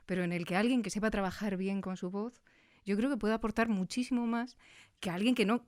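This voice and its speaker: Mujer española de voz clara y suave. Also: female voice, mid-range voice, steady tone, fairly quick, a little mellow. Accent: Spanish from Spain. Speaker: interviewer. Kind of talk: conversational podcast interview.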